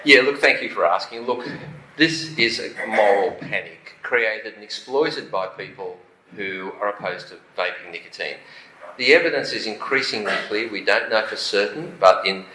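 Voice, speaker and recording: A man's voice: on the low side, moderate pace, pushed and bright. An Australian man on a conference panel, answering emphatically and dismissing critics. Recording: echoey hall, faint noise.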